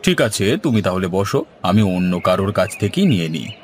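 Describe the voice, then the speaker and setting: A man's voice: sits low, very animated, fast, slight background noise. A man voicing a rude, vindictive haggling customer in a cartoon, with a scornful, dismissive way of talking. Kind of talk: Bengali cartoon voice acting.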